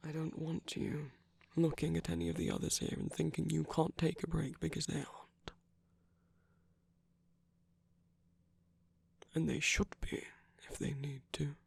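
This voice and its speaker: A man playing a tender but commanding villain in a romantic ASMR roleplay, speaking softly and breathily with measured pauses, often dropping to a whisper. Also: a little high, creaky, slightly rough, exaggerated voice. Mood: tender, reassuring. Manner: slow, gentle, measured, with deliberate pauses. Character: tender, commanding male villain comforting his lover.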